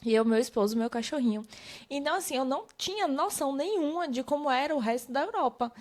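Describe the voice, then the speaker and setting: A Brazilian woman with a Brazilian Portuguese accent and a high voice hosts a relaxed conversation. She tells her own stories with energy. She jumps up in pitch when she quotes herself. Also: fast. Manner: animated storyteller, quotes herself in high bursts. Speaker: podcast host. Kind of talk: casual conversational interview.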